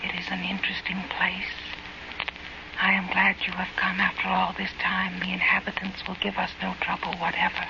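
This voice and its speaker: A woman playing a detached, measured alien intelligence that speaks through a human woman's voice in an old-time radio horror play. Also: mid-range voice, monotone, vocal fry, natural voice. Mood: coldly welcoming. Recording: noisy, muffled old radio broadcast.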